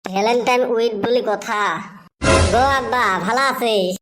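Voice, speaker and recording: A man voicing a cheeky, stubborn, thrifty young village lad in a cartoon comedy, with a pitch-raised voice and a northern Bengal and western Assam accent. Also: steady tone, normal speed, a bit bright, soft background music. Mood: boastful, then cheeky, pleading. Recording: clear background noise.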